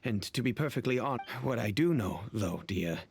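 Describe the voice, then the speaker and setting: A man's voice: mid-range voice, animated, moderate pace, a little mellow, husky, faint music behind. An actor playing a wealthy middle-aged master of the house in a video game, a guarded father speaking formally and evasively. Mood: guarded, grave.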